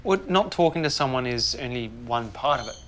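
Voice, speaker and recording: Guy in his 30s, calm earnest kinda pompous way of talking. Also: medium pitch, a little fast. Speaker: comedy sketch character. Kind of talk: scripted comedy sketch.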